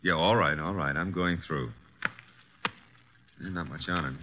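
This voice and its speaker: An American actor playing a breezy, wisecracking detective's assistant in a 1950s radio drama. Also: male voice, low-pitched, measured pace. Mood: reluctant, subdued. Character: wisecracking, easygoing male detective's legman. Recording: noisy, muffled vintage radio recording.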